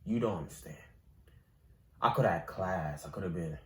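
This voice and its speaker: A man's voice: deep voice, brisk, a bit bright. A man performing a dramatic monologue as a bitter, wounded ex-boxer turned gangster, pleading and reproachful with streetwise phrasing. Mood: anguished regret. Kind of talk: dramatic film monologue acting. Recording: echoey room with background noise.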